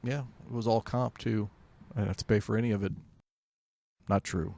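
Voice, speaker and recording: Gravelly, laid-back podcast co-host man. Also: fairly low pitch, steady tone, a little fast, warm tone, slight background noise. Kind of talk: casual chat.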